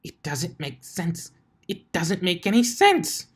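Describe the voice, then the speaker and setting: A man's voice: high-pitched, very animated, takes it slowly, breathy edge, pitched above the performer's own voice, full character voice. A man voicing a frustrated, dejected young female unicorn wizard in a story reading, muttering and pleading as she repeats herself. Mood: exasperated.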